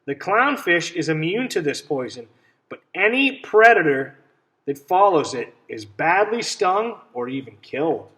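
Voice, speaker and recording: A man reading a picture book aloud to children as a teacher, pausing to ask simple questions and explain things. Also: fairly high pitch, sing-song, fairly bright tone. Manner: instructive, checks understanding with simple questions.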